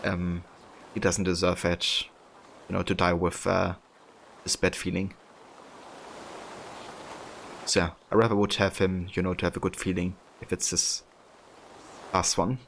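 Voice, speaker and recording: A man with a German accent, a native German speaker, chatting casually while streaming, relaxed and rambling with many fillers. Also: low voice, normal speed, gravelly, slight background noise. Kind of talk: casual live-stream commentary.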